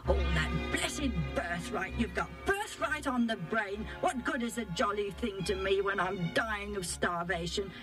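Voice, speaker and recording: A woman reader performs a brash young huntsman from a storybook, loud-mouthed and famished. The voice jumps higher, exclaiming impatiently over faint music. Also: animated, a little slow. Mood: scornful, impatient. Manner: roaring, blustering, exclamatory. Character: brash, ravenous, impatient young male hunter. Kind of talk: acted Bible storybook dialogue.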